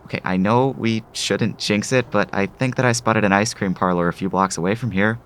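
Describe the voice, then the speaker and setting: A man's voice: on the low side, fairly even intonation, a little fast, a bit bright, slight background noise, close to an everyday voice. A man acting in an audio drama as an eager, pushy, proud father of a young actress. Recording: music under the voice.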